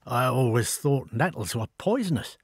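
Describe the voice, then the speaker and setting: A man's voice: medium pitch, expressive, normal speed, slightly rough, no room sound, studio quality. A man voicing a shy, polite young man from a northeast England mining village, speaking with a Pitmatic accent. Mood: hesitant. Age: young adult. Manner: awkward, hesitant, polite.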